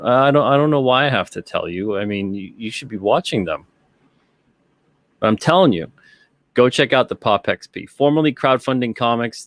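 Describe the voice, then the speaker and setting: A man's voice: on the low side, no room sound. A man hosting a live-stream show, chatting casually in a rambling, repetitive way and reading out comments. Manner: rambling, conversational, repeats himself.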